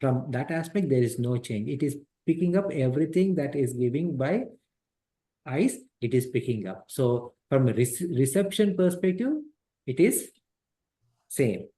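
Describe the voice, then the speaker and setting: Slow, mellow-voiced man teaching a class. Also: medium pitch, lively intonation. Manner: explains step by step, repeats himself, quizzes the class. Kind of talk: interactive class on a scripture text. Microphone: headset microphone.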